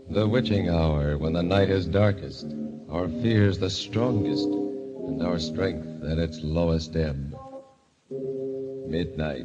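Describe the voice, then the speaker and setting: An actor playing an ominous horror host, introducing tales in a portentous 1940s radio manner. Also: male voice, low-pitched, dull audio. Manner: portentous, dramatic. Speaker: announcer. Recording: vintage radio broadcast with loud music. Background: loud dramatic music.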